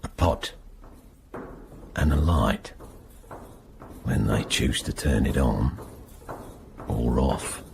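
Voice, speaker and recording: Bitter old-timer convict, really deep and gravelly, brooding radio drama monologue, bit of noise. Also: male voice, very slow, long pauses, darkish tone, full character voice. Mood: brooding, bleak. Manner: brooding, terse, fragmented delivery. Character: long-serving male prisoner protesting his innocence, bitter, stubborn, brooding. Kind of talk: radio drama acting, intimate first-person monologue.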